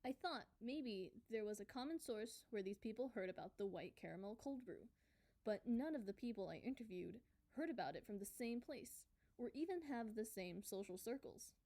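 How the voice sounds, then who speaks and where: Woman acting earnest nosy podcast reporter, fairly high voice, radio play. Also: expressive, moderate pace, dark tone. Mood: intrigued, narrating. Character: inquisitive, earnest female podcast reporter investigating.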